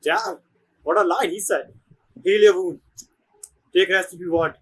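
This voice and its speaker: A man's voice: high voice, lively intonation, unhurried, creaky, a bit bright, breathy edge, poor audio. A man streaming a video game, giving casual, informal commentary and reacting aloud.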